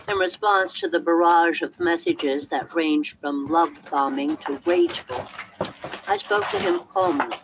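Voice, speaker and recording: A woman reading as an audiobook narrator in a scripted narration style, heard as a replayed recording. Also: deep voice. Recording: noisy replayed audiobook playback.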